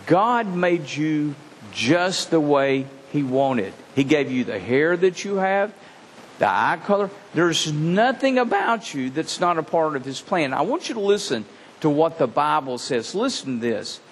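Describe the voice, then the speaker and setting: A man gives an earnest children's sermon, his voice rather high and swinging widely in pitch. He talks straight to the kids in a large reverberant hall. Faint room ambience sits behind him. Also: unhurried, slight background noise. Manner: animated, earnest, speaking directly to kids. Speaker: children's pastor.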